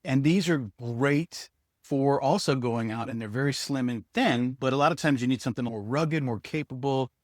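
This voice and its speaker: A man's voice: medium pitch, moderate pace, dry recording, studio quality. A man giving a casual hands-on product review, speaking to viewers and thinking aloud as he demonstrates.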